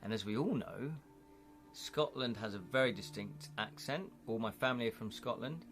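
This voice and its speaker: A man's voice: medium pitch, lively intonation, normal speed, a bit bright, faint music behind. Rambling English man hosting a live stream. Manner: rambling, chatty, thinks aloud with restarts. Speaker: livestream host. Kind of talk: casual live-stream chat, reading viewer comments.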